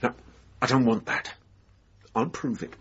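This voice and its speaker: A man's voice: medium pitch, a little slow, husky, muffled recording. A man in a radio drama playing an earnest hypnotherapist, defensive and insistent as his doubting lover challenges him. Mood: stubborn. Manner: insistent, excitable, urgent. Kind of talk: naturalistic radio drama acting.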